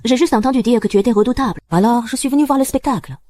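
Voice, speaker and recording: A woman voicing a proud, tough little female fighter cat in a dubbed animated series, direct and self-assured. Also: fairly high pitch, racing, natural voice. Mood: proud, determined.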